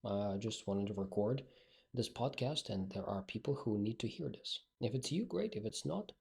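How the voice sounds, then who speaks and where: A man's voice: lowish voice, expressive, moderate pace, gravelly, darkish tone. A male speaking coach, not a native English speaker, talking bluntly and directly in a solo podcast monologue.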